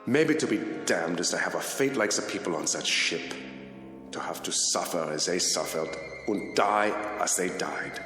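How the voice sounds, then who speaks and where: A man's voice: low-pitched, expressive, normal speed, pushed and bright, faint music behind. A man acting in a radio drama as a troubled, guilt-ridden German naval lieutenant with mystical dread. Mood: ominous, fervent. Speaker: actor.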